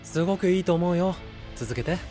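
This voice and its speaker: An actor playing an easygoing, level-headed, gentle young male knight with casual, softly teasing speech in an anime-style voice drama. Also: high-pitched, a little fast, faint music behind, natural voice. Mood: encouraging.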